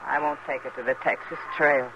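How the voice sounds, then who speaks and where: A confident, kindly frontier woman in a vintage radio western. She sounds worldly and reassuring, her voice on the low side. The broadcast is muffled and noisy. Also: fairly even intonation, fairly quick. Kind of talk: 1950s radio western acting.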